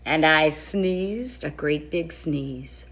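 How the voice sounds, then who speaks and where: A woman's voice: low-pitched, extremely slow, fairly bright tone, pitched below the performer's own voice, full character voice. A woman voicing an indignant, sly male wolf who tells his side of a children's story in a chatty, exaggerated, folksy way. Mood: folksy, mock innocent. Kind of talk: animated children's storybook read-aloud.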